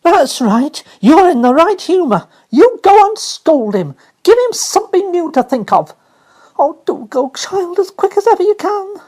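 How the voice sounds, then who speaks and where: A woman's voice: very high voice, sing-song, unhurried, a little mellow, voice pushed up, heavily acted. A woman voicing a frightened nurse who begs a child to help. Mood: anxious, pleading. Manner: anxious, coaxing. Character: frightened pleading adult female nurse.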